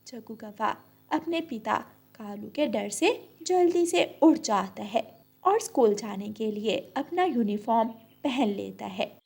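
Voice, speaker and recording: A woman's voice: on the high side, animated, measured pace, creaky, a little mellow, breathy edge. A woman reading a scripted narration for a children's story.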